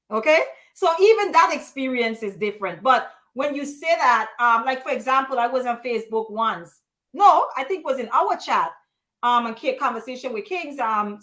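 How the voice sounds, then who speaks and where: A woman from the U.S. Virgin Islands hosting a live panel, telling stories in an animated, emphatic way. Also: high-pitched. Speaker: host.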